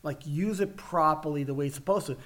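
A man's voice: fairly high pitch, a little fast, a bit bright. A man with a Brooklyn New York accent, co-hosting a casual podcast chat, talking earnestly and often repeating words as he finds his point. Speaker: podcast co-host.

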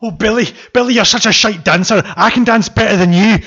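Man playing a high, overwrought young dancer. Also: brisk, bright, forceful tone, husky, full character voice. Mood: taunting. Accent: unsteady Geordie drifting into Scottish. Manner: overwrought, taunting. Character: melodramatic young ballet dancer berating himself in a mirror. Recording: dull lo-fi recording with music.

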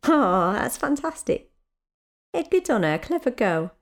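Casting: woman as patient, dryly wry mother of a big family, fairly high voice, slow delivery, naturalistic audio play. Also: big pitch swings. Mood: chatty, confiding. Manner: wry, dry, unhurried. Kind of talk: naturalistic audio drama dialogue.

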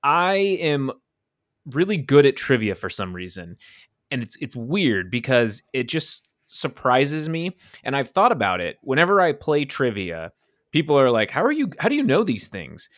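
A man's voice: medium pitch, huge pitch swings, moderate pace, fairly bright tone, no echo, studio quality. A man in casual podcast chat, rambling and thinking aloud with a self-deprecating tone.

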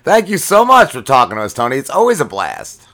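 A man's voice: mid-range voice, wildly swooping pitch, a little fast, bright, forceful tone. A man hosting a casual podcast interview, upbeat and friendly, laughing easily with his guests. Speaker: podcast host.

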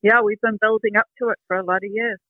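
An older woman artist talking in a casual interview, reflective and earnest, pausing with soft ums, heard over a phone call. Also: mid-range voice, fairly even intonation, no echo. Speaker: artist guest. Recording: tinny dry phone line.

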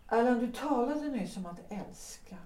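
Picture an actress voicing an intense, pleading married woman in a Swedish audio drama. Her tone is mellow and dark, and she sounds set back from the mic in a roomy space. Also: a little high, expressive, far from the mic, close to an everyday voice. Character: unhappily married woman pleading with young lover.

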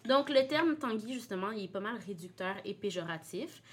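A woman's voice: medium pitch, lively intonation, brisk. A young woman hosting a casual podcast, playful and laughing, with English words mixed in. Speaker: podcast host.

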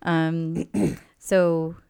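A woman therapist speaks in a low, smooth, resonant voice. She talks earnestly and with emphasis, agreeing readily with the others as she explains her work. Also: monotone, extremely slow, darkish tone. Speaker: therapist and guest.

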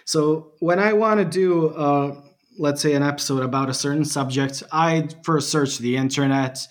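A man's voice: a little high, normal speed. A man from Bosnia and Herzegovina, a podcast guest chatting animatedly and mocking himself with frequent laughs. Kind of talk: casual interview chat.

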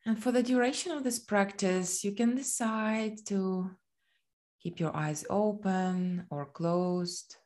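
A woman leading a guided body scan meditation in a soothing, gentle manner, leaving long pauses between phrases. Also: medium pitch.

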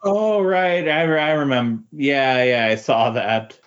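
A man's voice: medium pitch, slow. A man chatting casually about comic books as a podcast guest, in a hesitant, agreeable manner. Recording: dull, lo-fi recording.

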